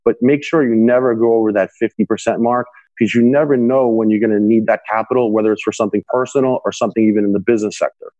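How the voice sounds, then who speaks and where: A man's voice: on the low side, steady tone, quick pace, dark tone, dry recording, muffled recording. A young man, a guest on an interview podcast, earnestly giving money advice and filling his speech with "you know". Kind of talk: conversational interview answers.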